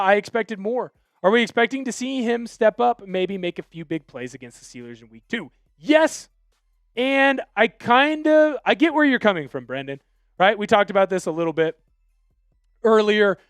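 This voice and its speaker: Man, young adult, live football talk host, opinionated, high voice. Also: a bit bright, clear, resonant tone, no echo, clean studio sound. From Washington State, USA. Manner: opinionated, fired-up fan talk, reads viewer texts aloud. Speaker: sports show host. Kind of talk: live sports talk stream.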